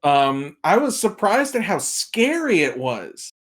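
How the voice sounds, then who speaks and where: A man's voice: high voice, big pitch swings, slow. A man talking casually as a podcast guest, giving film opinions and acting out imagined reactions as he tells it. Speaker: podcast guest, movie critic.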